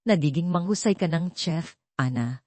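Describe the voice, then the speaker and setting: A gentle millionaire father, read by a woman in an audio drama. He is protective and earnest, with measured speech in a mellow tone and a dry, close sound. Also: fairly low pitch, expressive, dry recording. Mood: tender. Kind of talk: audio drama storybook reading.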